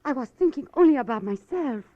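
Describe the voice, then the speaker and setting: A woman's voice: high voice, expressive, fairly quick, no echo. A woman playing a remorseful, pleading seductress in melodramatic 1950s radio drama, imploring and emotional. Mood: distraught pleading. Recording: dry, dull vintage radio recording with music.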